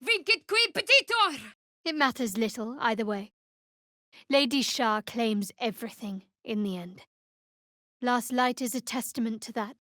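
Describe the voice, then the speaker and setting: A woman playing a guarded, sardonic young cleric of a dark goddess in a video game, speaking in a dry, wry manner. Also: fairly high pitch, animated, measured pace, no room sound. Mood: stern, forceful, then solemn, devout. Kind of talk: video game character voice lines. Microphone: close studio microphone.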